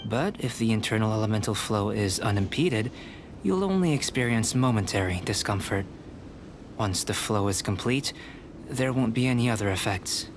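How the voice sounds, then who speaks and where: A man voicing a calm, measured young male alchemist in a game, who speaks in a precise, formal way. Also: fairly low pitch, background noise. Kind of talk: game voice acting. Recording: game cutscene with music behind.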